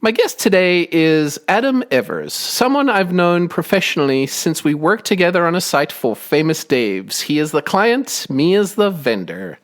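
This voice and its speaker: Male podcast host, animated pitch, slightly high voice, friendly, inquisitive, chuckles a lot. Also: moderate pace, hardly any pauses. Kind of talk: conversational interview.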